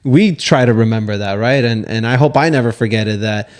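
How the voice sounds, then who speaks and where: A man's voice: medium pitch, fairly quick. A man co-hosting a casual faith podcast, talking in a preachy, exhorting yet conversational way. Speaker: podcast co-host.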